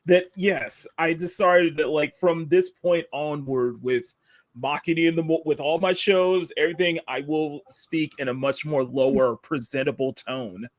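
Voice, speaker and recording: A deep-voiced man with a Southern accent chats casually as a live-show host. He rambles, restarts his sentences and laughs often, in a dry, close recording. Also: unhurried, no room sound. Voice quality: deep, radio-style tone. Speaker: livestream host.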